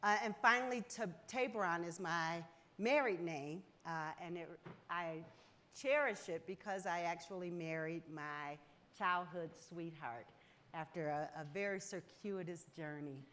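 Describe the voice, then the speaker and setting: A middle-aged woman, speaking as a guest on a panel in an earnest, measured way with many fillers. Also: lowish voice, fairly bright tone. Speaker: foundation leader, guest. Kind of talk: panel conversation.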